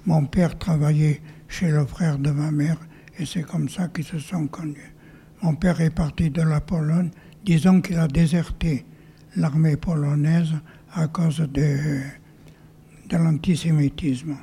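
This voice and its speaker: An older man from northern France telling his life story to an audience, halting and often dropping to a whisper. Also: on the high side, steady tone, vocal fry, mellow, husky. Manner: halting, with many restarts and whispered stretches. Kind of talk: testimony to an audience. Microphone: handheld mic.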